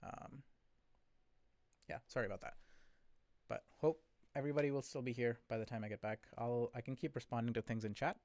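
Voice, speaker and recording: A man thinking aloud as he codes on a live stream, rambling and correcting himself, his voice a bit hoarse from talking for a long time. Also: medium pitch, steady tone, brisk, darkish tone, no echo. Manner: rambling, self-correcting, chatty with viewers.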